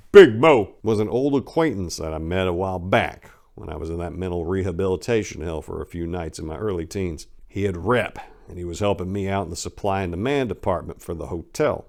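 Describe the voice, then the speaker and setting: A man narrating a first-person story in a dramatic audiobook reading, with a blunt, streetwise, conversational manner. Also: sits low.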